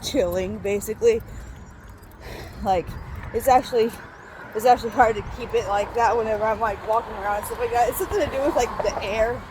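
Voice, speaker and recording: A woman's voice: fairly high pitch, a little mellow, a little hiss or hum, rough recording. Breathless young woman with a childlike voice. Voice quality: childlike, slightly nasal voice. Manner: rambling, many fillers and restarts. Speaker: vlogger. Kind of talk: casual vlog update while walking. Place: outdoors, walking through a town to work.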